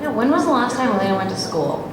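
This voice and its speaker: A chatty woman from Bulgaria, talking on a panel and laughing easily. Her voice is caught from far across a very echoey hall with clear background hiss. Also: fairly high pitch, big pitch swings, quick pace. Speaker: actress on a convention panel. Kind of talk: relaxed panel Q&A. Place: large echoey convention hall.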